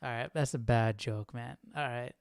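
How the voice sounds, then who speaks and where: A man's voice: medium pitch, normal speed, gravelly, smooth, no room sound, clean studio sound. A man hosting a live Bible study stream, chatting casually and jokingly as he thinks aloud. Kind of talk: casual chat, reacting to a reading.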